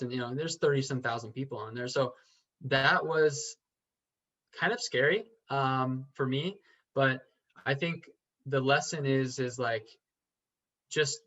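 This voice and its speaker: A man talks as a podcast guest, earnest and halting, often stopping to restart a sentence. His voice has a middle pitch. The audio is slightly dull and lo-fi. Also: fairly bright tone. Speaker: podcast guest, real estate investor. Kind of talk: casual interview chat.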